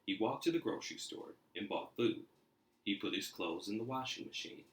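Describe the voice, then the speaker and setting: Male reader for a children's storybook, deep low register, expressive narration, audibly echoey room. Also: moderate pace. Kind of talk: expressive storybook read-aloud. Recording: roomy, echoey indoor sound.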